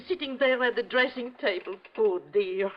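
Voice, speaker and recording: Casting: actress as devoted housemaid, very high plaintive voice, upset, vintage radio drama. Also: female voice, normal speed, close to an everyday voice. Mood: distressed, tearful. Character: distressed, devoted female personal maid recounting a death. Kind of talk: 1950s radio drama acting. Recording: dull vintage radio recording.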